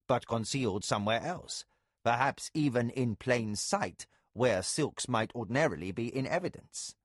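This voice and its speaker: A man voicing a shrewd, soft-spoken spymaster who speaks quietly and gently. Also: mid-range voice, expressive, dry recording, clean studio sound, natural voice. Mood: measured. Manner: quiet, measured.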